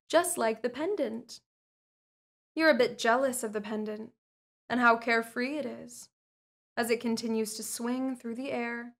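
A woman voicing a calm, manipulative female hypnotist who lulls a captured spy with soothing, repetitive words in an ASMR roleplay. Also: fairly high pitch.

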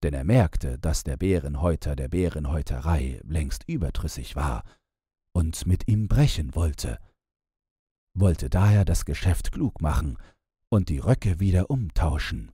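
A man's voice: very deep voice, lively intonation, measured pace, mellow, husky, dry recording, studio quality. A man narrating a fairy tale as a scripted bedtime audiobook.

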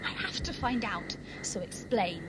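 A woman's voice: medium pitch, animated, measured pace, very noisy, natural voice. A woman acting an anxious but determined mother in an audio drama.

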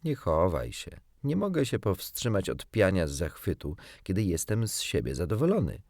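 A man's voice: deep voice, wildly swooping pitch, normal speed, a little mellow, no echo, heavily acted. A cocky storybook boy, acted out by a man reader, boastful and childlike. His delivery swings widely and every word is cleanly recorded, close and dry. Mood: coaxing. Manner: gleeful crowing, offhand.

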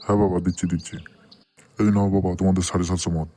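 A man's voice: low-pitched, flat delivery, racing, dark tone, soft background music, pitched below the performer's own voice, lightly acted. A man voicing an easygoing older male liquor shopkeeper in a comedy cartoon, repeating himself indulgently. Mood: patient, amused.

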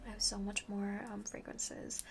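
A woman's voice: mid-range voice, little pitch movement, moderate pace, slight background noise. Whispering woman in a playful ASMR shop roleplay. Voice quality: breathy whisper, mostly unvoiced. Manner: playful, chatty, repeats phrases for emphasis. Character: friendly, boastful enchanted-forest perfume seller. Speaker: ASMR roleplayer playing a fragrance shopkeeper.

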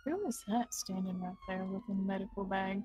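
A woman's voice: medium pitch, huge pitch swings, normal speed, background noise. A woman bantering casually as a paramedic partner in an online roleplay game.

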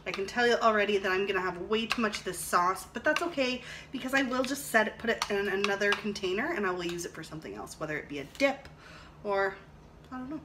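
A woman's voice: medium pitch, fast. A woman in her thirties chatting casually and thinking aloud as she cooks at home, recorded on a camera an arm's length away. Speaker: vlog host cooking and reviewing a product. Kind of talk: casual chat while demonstrating cooking. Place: home kitchen, indoors. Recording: clattering dishes and clicks, noisy room.

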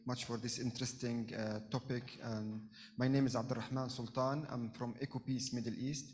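A man from Jordan making an earnest, halting comment from the floor at a conference, with many pauses and fillers. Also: mid-range voice, little pitch movement, a little mellow. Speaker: audience member asking a question. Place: conference hall.

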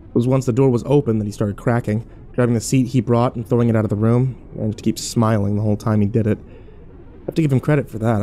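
A man's voice: lowish voice, mellow, slight background noise, lightly acted. A man playing a weary, sarcastic young survivor who narrates and talks in an informal first-person audio drama. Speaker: actor and narrator.

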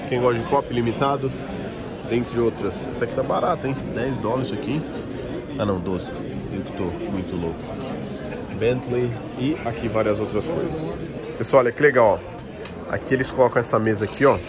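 A man's voice: mid-range voice, moderate pace. A Brazilian man with a Brazilian Portuguese accent, chatting informally and in slang as he gives a casual walk-around vlog commentary. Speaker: toy collector and vlogger. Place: busy toy convention, recorded on the move. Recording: busy crowd noise of a convention. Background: crowd chatter.

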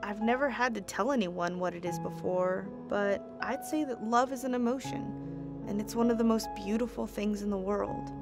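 A woman's voice: medium pitch, normal speed, lightly acted. A woman playing a principled, concerned doctor in an audio drama, earnest and articulate. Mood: tender. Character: principled, concerned female doctor, insistent yet tender. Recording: music under the voice.

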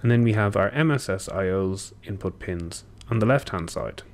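A young man giving a technical walkthrough, steady and explanatory with occasional ums and self-corrections, recorded at a desk in a small room. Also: fairly low pitch, moderate pace. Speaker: engineer presenting a tutorial. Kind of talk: technical walkthrough over screen share.

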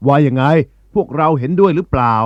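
A man's voice: medium pitch, animated, fast talker, highs cut off, natural voice. A man playing an authoritative yet caring eagle who rules the birds in a children's story, addressing his gathering with command. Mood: consoling, solemn.

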